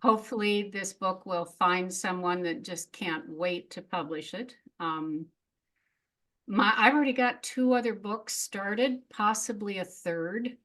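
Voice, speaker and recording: A woman's voice: medium pitch, a little slow, a bit bright. A middle-aged woman speaking as a guest in a casual interview, frank and reflective, often laughing at herself. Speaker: memoirist guest.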